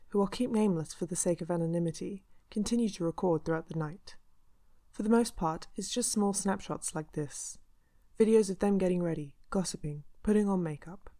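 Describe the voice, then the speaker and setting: A woman playing the serious host of a true-crime mystery show, giving measured, ominous scripted narration. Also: on the low side, warm tone, lightly acted. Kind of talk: scripted narration in a radio drama. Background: music under the voice.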